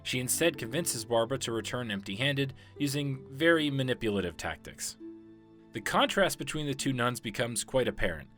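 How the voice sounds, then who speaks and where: A man's voice: medium pitch, animated, moderate pace, fairly bright tone. A man giving scripted narration as a video game lore explainer. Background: background music.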